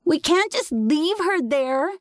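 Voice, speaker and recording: A woman's voice: on the high side, animated, a little slow, no room sound, clean studio sound, voice pushed up. A woman voicing an earnest young boy who calls out in an audiobook story. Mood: distressed. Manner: raised, earnest calling. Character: young brothers, earnest boys calling out, one protective, one upset. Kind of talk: audiobook character dialogue.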